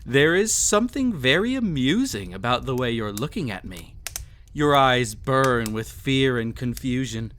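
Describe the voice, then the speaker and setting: Cruel possessive emperor, acted by a man, male, haughty and teasing, swooping intonation, slow drawn-out delivery, intimate audio drama, faint background noise. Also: medium pitch, big pitch swings, fairly bright tone. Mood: haughty amusement. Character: cruel, possessive, vain emperor toying with a captive.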